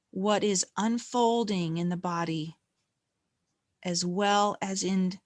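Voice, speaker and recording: A woman leading a guided meditation in a gentle, calm, unhurried manner with long pauses between phrases. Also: mid-range voice, no room sound, studio quality.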